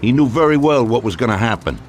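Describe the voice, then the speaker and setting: An actor playing an older American mafia boss, genial but ruthless, talking in colloquial gangster style in a game cutscene. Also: male voice, medium pitch, expressive, fast talker, soft background music, close to an everyday voice. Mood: grimly vengeful. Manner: conversational, colloquial gangster talk. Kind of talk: video game cutscene acting.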